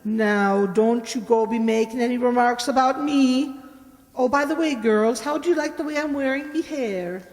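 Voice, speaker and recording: Brassy, coy landlady, played by a woman, lilt, high voice, radio comedy in echoing hall. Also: moderate pace, darkish tone, natural voice. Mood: indignant, preening. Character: coy, flirtatious, brassy middle-aged Irish landlady. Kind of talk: old-time radio comedy acting.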